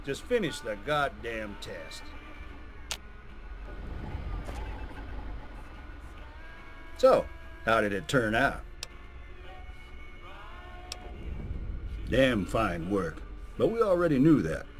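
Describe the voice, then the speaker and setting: A man playing a gruff, patriotic, hot-tempered coach who speaks like a recruiter in a video game. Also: on the low side, big pitch swings, a little slow, soft background music. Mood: approving, expectant. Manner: rousing, commanding, profane.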